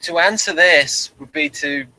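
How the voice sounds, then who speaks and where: A man hosting a casual review discussion, talking in a halting way with repeated words. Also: a little high, sharp tone, rough recording.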